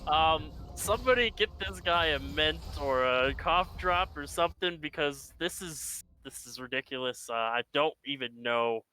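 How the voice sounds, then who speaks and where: A man does color commentary on a live esports broadcast, hesitant, with plenty of fillers and laughter. His voice is bright and forceful, a little higher than most men's. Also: expressive, moderate pace, hardly any pauses, slight background noise.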